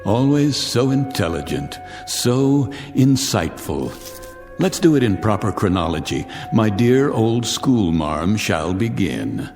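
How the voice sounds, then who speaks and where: A man's voice: on the low side, big pitch swings, slow pace, a little mellow, heavily acted. An actor playing a vengeful rich man who taunts his former teacher, with a sneering, theatrical manner, in a vintage television drama. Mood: taunting. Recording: vintage recording with long reverb and music.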